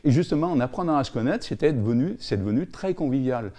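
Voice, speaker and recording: A man's voice: mid-range voice, animated, quick pace. A man recounting experience in an interview, spontaneous and sometimes searching for his words.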